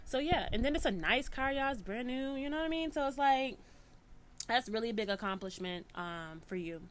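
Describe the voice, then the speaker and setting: A woman's voice: fairly high pitch, a little fast. A woman in her thirties hosting a podcast, chatting casually and telling stories animatedly. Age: 30s. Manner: animated storyteller, swings from soft musing to loud excitement. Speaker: podcast host.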